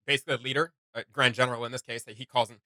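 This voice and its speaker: A man's voice: medium pitch, level tone, rapid-fire, bright, forceful tone, no room sound. A man hosting a reaction video, giving enthusiastic, slangy, off-the-cuff commentary.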